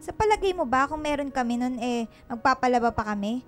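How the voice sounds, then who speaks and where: A woman's voice: high-pitched, expressive, a little fast, a bit bright, faint music behind. An actress playing a haughty, bad-tempered Filipino household employer in a radio drama, curt and scornful as she scolds. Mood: scornful, scolding. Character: haughty, bad-tempered female household employer who belittles her laundrywoman.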